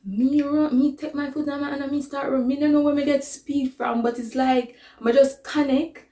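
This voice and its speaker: High-voiced Jamaican woman telling stories. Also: flat delivery, moderate pace, warm tone, roomy sound. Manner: dramatic retelling that slips into patois. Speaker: vlogger telling a personal story. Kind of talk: casual animated storytelling.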